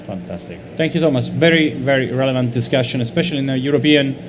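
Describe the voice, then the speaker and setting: A man with an Italian accent moderates a business conference panel. He talks in a fluent, conversational way with fillers and restarts. Clear background noise hangs behind him in the venue. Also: medium pitch, fast. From Italy.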